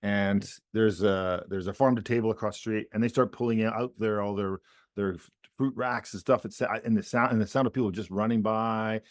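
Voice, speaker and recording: A man's voice: fairly low pitch, steady tone, a little fast, no room sound, studio quality. A man co-hosting a casual political chat podcast, rambling with many restarts and repeated words. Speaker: podcast co-host.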